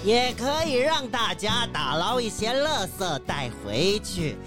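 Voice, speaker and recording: A man's voice: high-pitched, sing-song, takes it slowly, over music, heavily acted. A man playing a folksy, plain-spoken ship captain in a children's radio drama, drawing out his exclamatory sentence endings. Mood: helpful.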